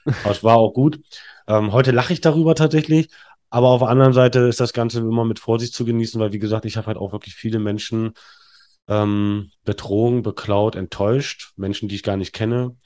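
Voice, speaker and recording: Low-voiced guy in his 30s, rambles on frankly, lots of ums. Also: fairly even intonation, brisk, a little mellow. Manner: frank, rambling, frequent fillers and restarts. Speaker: podcast guest. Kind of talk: candid conversational storytelling.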